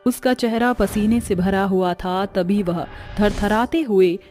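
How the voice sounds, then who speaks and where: A woman's voice: medium pitch, measured pace, a little mellow, faint music behind. A woman narrating a scripted cartoon story in a dramatic storytelling style.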